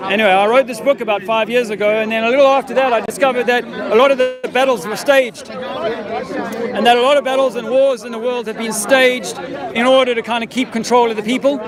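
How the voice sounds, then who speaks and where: A man in his fifties, an army veteran filming himself outdoors, talking in a profane, rambling, insistent way. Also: very high-pitched. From South Africa. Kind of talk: street-corner conspiracy monologue. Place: outdoor public speaking park. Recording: outdoor crowd noise behind.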